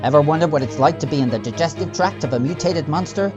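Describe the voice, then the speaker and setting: A woman's voice: deep voice, fast, music in the background, natural voice. A woman playing an upbeat radio announcer in an amateur broadcast parody, in dull lo-fi sound. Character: chirpy radio news announcer.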